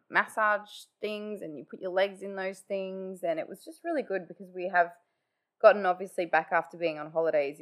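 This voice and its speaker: A woman hosting her own podcast in casual, chatty talk, with a nasally, congested voice after just waking up. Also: fairly low pitch. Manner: chatty, many "like" fillers. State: just woken up. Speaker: podcast host. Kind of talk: casual solo podcast chat.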